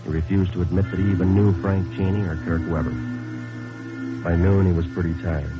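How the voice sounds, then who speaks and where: A man acting as a police detective with a low, deadpan voice. He narrates in clipped, businesslike phrases, as in a 1950s radio crime drama. The old recording is muffled, with music behind. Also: brisk, lightly acted. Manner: deadpan, matter-of-fact. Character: terse, businesslike male police detective sergeant narrating his case.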